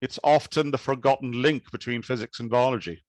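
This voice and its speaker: Measured Kenyan-born man giving an academic talk. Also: mid-range voice, steady tone, darkish tone, dry recording. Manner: measured, thoughtful, with occasional restarts. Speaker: conference speaker.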